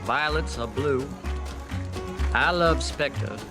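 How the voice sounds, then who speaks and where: An actor playing a solemn small-town poet who recites with grave care, in a film clip over loud music. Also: male voice, mid-range voice, slow pace.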